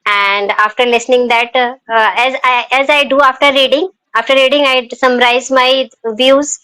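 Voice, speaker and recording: A woman with an Indian accent who hosts an English-learning podcast and speaks haltingly, with many fillers, restarts and frequent laughs. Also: sits high, fairly even intonation, pushed and bright, no echo, rough recording. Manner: laughs often. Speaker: podcast host, English teacher. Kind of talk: casual conversational podcast.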